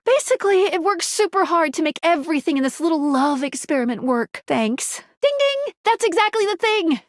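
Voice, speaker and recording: Animated talking eyeglasses, high female voice, perky tutorial guide, earnest, quirky, chatty, very lively intonation, video game character. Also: dry recording, clean studio sound, exaggerated voice. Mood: sheepish explaining. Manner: quirky, expressive, chatty, with cute interjections.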